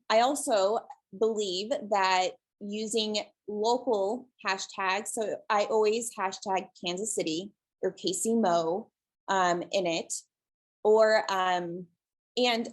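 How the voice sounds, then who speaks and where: Calm, soft-spoken woman presenting on a call. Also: mid-range voice. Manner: relaxed, many ums, soft and calm. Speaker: guest presenter, shelter social media creator. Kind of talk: webinar presentation. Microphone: video call.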